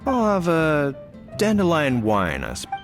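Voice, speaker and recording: A man's voice: a little high, huge pitch swings, a little slow, over loud music, heavily acted. A man voicing an awkward, polite knight in a video game, hesitant, stammering and evasive. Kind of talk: video game character acting.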